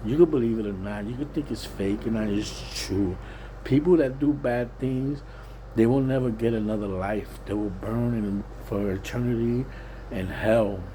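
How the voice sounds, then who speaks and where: A man's voice: lowish voice, moderate pace, warm tone, a little hiss or hum, rough recording. A plain-spoken, streetwise Puerto Rican man giving a casual, rambling, repetitive monologue. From Puerto Rican, living in Pennsylvania, USA. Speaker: vlogger.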